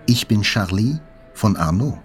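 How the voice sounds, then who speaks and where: An actor playing a wounded journalist, narrating his hospital recovery in a measured, reflective literary style. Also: fairly low pitch, animated, music in the background. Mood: sober, detached. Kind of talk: scripted literary narration, radio play.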